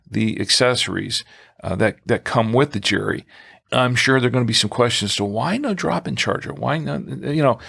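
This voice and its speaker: A man's voice: mid-range voice, gravelly, fairly bright tone. A man co-hosting a podcast, talking through a product in a conversational way, hesitating and repeating himself as he goes. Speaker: podcast co-host.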